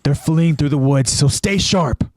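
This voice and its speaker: A man voicing a stern military squad leader in an anime story, giving clipped, deliberate commands. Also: on the high side, a little mellow, voice pushed up, natural voice. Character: stern male squad leader briefing soldiers.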